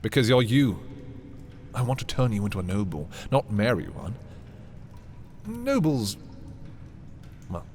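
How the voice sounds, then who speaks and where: Guy doing arrogant scheming fantasy prince, lowish voice, really swoopy dramatic delivery, possessive. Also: a little hiss or hum, exaggerated voice. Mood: emphatic. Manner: haughty, dramatic, swinging from hushed to exclaiming. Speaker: voice performer. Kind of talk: dramatic roleplay audio, ASMR fantasy monologue.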